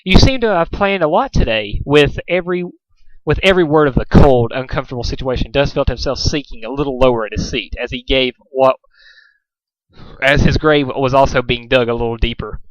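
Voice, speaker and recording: A man's voice: mid-range voice, a little fast, no room sound, highs cut off. Man narrating a story, very dry sound. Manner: stumbles over and repeats phrases. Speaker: fan fiction reader, narrator. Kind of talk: amateur scripted story narration.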